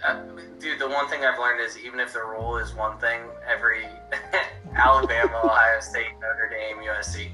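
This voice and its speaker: A man co-hosting a podcast over a video call, joking around in an animated, easily amused way. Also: mid-range voice, over loud music, rough recording. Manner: jokey, animated, quick to laugh. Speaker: podcast co-host.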